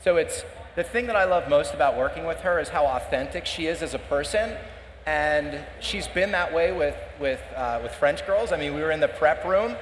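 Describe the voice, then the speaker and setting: A man, a startup co-founder on a panel, explaining in a measured way with some fillers. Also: fairly high pitch, animated, a little hiss or hum. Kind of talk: panel conversation. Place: conference stage in a large hall. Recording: roomy hall reverb, faint ambience.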